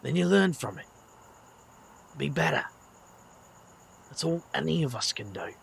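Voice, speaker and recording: Gravelly, weary British magician. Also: male voice, a little high, animated, unhurried, fairly bright tone, a little hiss or hum, exaggerated voice. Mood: gentle, earnest. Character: weary, kindly middle-aged British magician, wry. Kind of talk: audio drama dialogue.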